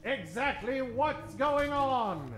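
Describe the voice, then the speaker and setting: A man with a very high, forceful voice plays the showman announcer of an old-time radio adventure. His delivery is grand and theatrical, recorded on a roomy live stage with music faintly behind. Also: animated, slow. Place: live stage before an audience.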